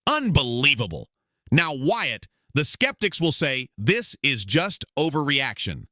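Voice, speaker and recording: Male actor as conspiracy radio host, showy announcer manner, forceful bright voice, close dry studio sound. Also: mid-range voice, big pitch swings, measured pace, no room sound. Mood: grave, intrigued. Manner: showy, emphatic, folksy announcer delivery. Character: hyped-up conspiracy radio show host, brash showman. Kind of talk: bombastic talk radio hosting in an audio drama.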